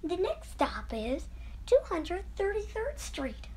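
Female transit announcer, very high voice, measured scripted stop calls, noise behind. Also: wildly swooping pitch, a little mellow. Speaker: subway train announcer.

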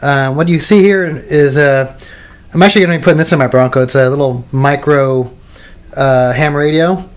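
A man's voice: mid-range voice, moderate pace. A man in his thirties casually showing off radio gear at his home workbench, rambling with many restarts and fillers. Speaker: radio hobbyist video maker. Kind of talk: casual show-and-tell demo.

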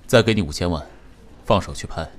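An actor playing a composed, calculating, wealthy chairman in a radio drama, measured and formal. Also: low-pitched, lightly acted. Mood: calm, dry. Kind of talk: Chinese radio drama acting.

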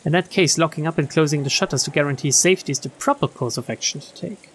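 A woman streamer reading visual novel text aloud in an expressive storytelling style. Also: low voice, fast talker, a little hiss or hum. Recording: game music behind the voice.

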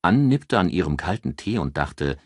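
Low-voiced, husky male audiobook narrator. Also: very animated, moderate pace, no room sound, clean studio sound. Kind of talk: expressive scripted audiobook narration.

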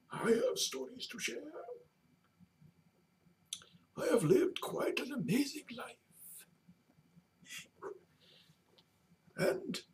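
A man voicing a whimsical, very old male storyteller in a halting, grandiose, dramatic manner for a comedy video. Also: very high voice, exaggerated voice. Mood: wistful, melodramatic. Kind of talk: comedic in-character storytelling to camera. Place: small home room, indoors. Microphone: webcam at desk distance. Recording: distant webcam microphone.

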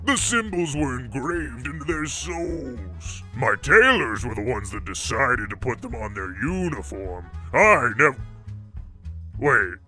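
A man's voice: mid-range voice, big pitch swings, slow pace, pushed and bright, faint music behind, voice pushed down, full character voice. A man voicing a smug, grumpy devil who runs a casino, with a drawling, menacing manner, in a cartoon comic dub. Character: male devil, smug, grumpy, easily angered casino boss. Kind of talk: comic dub character acting.

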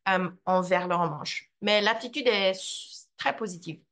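A woman presenter answering questions in an online talk, slow and careful, searching for words. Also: medium pitch, a bit bright. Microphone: computer mic on a video call.

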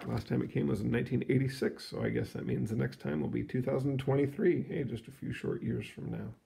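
A man reading a children's science book aloud in an expressive, explanatory storytelling manner. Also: medium pitch, a little fast, gravelly, breathy edge. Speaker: read-aloud narrator.

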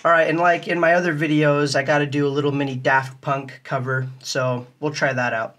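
A man's voice: a little high, flat delivery, brisk, fairly bright tone, soft background music. A man giving a casual, step-by-step tutorial in a plain, methodical way. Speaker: music tutorial host.

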